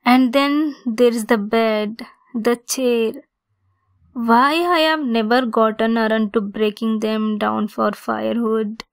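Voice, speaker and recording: Yandere centaur played by a woman for ASMR roleplay: female, high voice, unhurried, clingy and domineering. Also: steady tone. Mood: wistful, obsessive. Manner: slow, deliberate, insistent, clingy.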